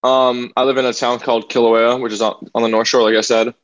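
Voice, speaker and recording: A man's voice: medium pitch, level tone, fairly quick. Rambling young man on a dull video call. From New Jersey, raised in Hawaii. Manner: rambling, many "like" and "um" fillers. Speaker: singer, podcast guest. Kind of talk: casual interview chat.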